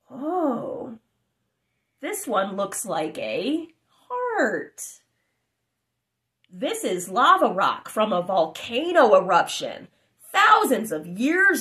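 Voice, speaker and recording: Lively high-voiced woman reading a kids' book. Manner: animated, exclamatory, child-directed with asides. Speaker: storybook narrator. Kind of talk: reading a children's picture book aloud. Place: living room, indoors.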